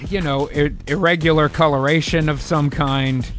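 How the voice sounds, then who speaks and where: Guy with a slightly high voice rambling and joking on a comedy stream, swears a lot, music under him. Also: steady tone, normal speed, fairly bright tone. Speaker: co-host of a comedy improv livestream. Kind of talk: casual banter, swearing freely.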